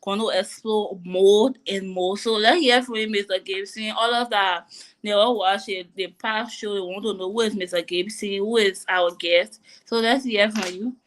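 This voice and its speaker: A woman hosts a live online panel show in a loose, chatty way. She laughs easily and teases her guests as she brings them in. Her voice sits at a middle pitch for a woman. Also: fairly even intonation, normal speed. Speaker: host.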